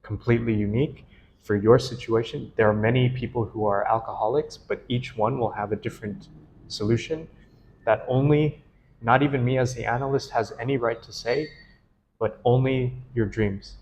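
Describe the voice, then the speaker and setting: A man's voice: mid-range voice, steady tone, moderate pace, darkish tone, slight background noise, poor audio. A man, a dream analyst, interviewing a client in an earnest, enthusiastic and easily laughing way. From United States. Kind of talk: casual interview.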